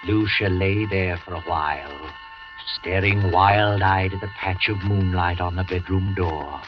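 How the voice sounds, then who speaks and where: Ominous old-time radio announcer. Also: male voice, low-pitched. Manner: measured, ominous, theatrical. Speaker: host and narrator. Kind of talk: 1940s radio announcing and narration. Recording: dull old radio broadcast with music.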